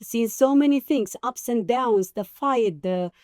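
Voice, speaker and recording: A woman hosting an interview, encouraging her guest with quick short replies. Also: on the high side, a little mellow, dry recording, clean studio sound. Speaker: talk show host. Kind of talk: casual interview.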